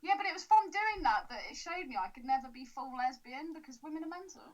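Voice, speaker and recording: A woman's voice: high voice, animated, fast, dull audio. A young English woman co-hosting a podcast, talking bluntly and swearing freely in casual chat. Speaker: podcast co-host.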